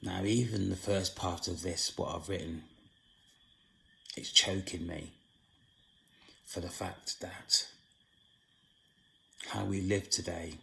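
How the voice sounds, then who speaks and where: A hoarse man hosting his own channel, giving a solemn spiritual monologue in a slow, halting way with long pauses. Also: low voice, steady tone, bright, forceful tone, rough recording. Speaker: channel host.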